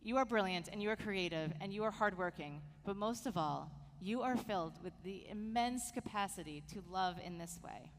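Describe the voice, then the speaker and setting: A woman's voice: medium pitch, normal speed, few pauses. A woman rabbi giving a heartfelt sermon tribute to a departing staff member in a synagogue. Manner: warm, heartfelt address to an honoree. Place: synagogue sanctuary. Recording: long hall reverb, faint noise.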